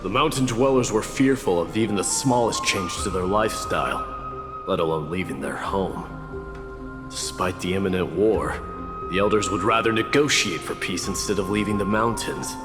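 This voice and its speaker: Low-voiced solemn warrior, acted by a man, in a hall. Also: normal speed, natural voice. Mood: grave. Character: grave, proud warrior man recounting an old legend. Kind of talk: dramatic video game storytelling. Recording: reverberant hall, music behind.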